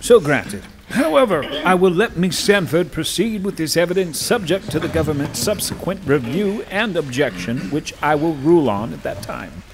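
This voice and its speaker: Male judge, slightly high voice, lively intonation, formal authority, faint noise. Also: moderate pace. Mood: firm. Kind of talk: audio courtroom drama acting.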